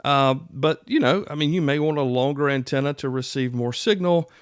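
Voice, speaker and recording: A middle-aged man with a medium-pitched voice talks in a very dry-sounding space. He hosts a podcast, teaching in a casual, folksy manner, as if chatting directly with the listener. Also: brisk, smooth, no echo. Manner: folksy, conversational. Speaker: podcast host. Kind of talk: casual instructional podcast talk.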